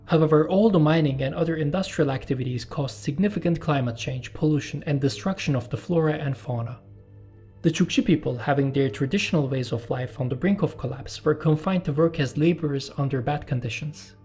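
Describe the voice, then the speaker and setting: Man narrating, mellow voice. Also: fairly high pitch, breathy edge, faint music behind. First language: Turkish. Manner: measured, explanatory, with personal asides. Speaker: channel host and narrator. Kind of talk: scripted documentary narration.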